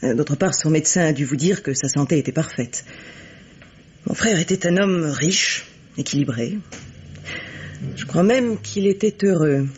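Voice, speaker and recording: An actress playing a cynical, haughty bourgeois woman in a French radio mystery, speaking in a measured, articulate, coolly disdainful way. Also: low-pitched, a little mellow, slight background noise. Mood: cool, firm. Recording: dull vintage radio recording.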